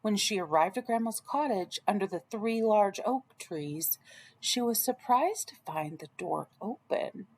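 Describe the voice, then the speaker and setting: A woman narrates a children's tale in an expressive read-aloud style. She takes her time with each phrase and moves her pitch through wide, animated swings. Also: measured pace. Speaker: storyteller.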